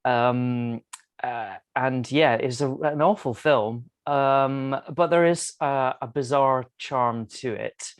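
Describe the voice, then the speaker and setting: A man with a medium-pitched voice who speaks slowly. He is measured and hesitant, discussing films casually as a member of a podcast panel. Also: smooth.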